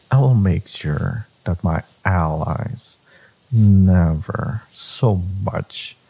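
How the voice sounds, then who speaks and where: Villain love interest for romance audio drama, male actor: gravelly, unhurried, possessive, intense. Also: deep voice, mellow, heavily acted, low-quality recording. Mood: solemn, protective. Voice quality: husky, gravelly. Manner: slow, deliberate, intimate, intense. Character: brooding, devoted, possessive male villain lover.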